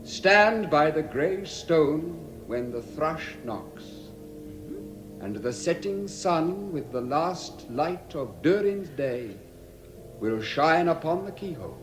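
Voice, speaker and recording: An actor playing a noble, wise elf lord with a measured, solemn manner in a 1960s radio drama. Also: male voice, on the high side, animated. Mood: studious. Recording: echoey vintage radio drama, music behind.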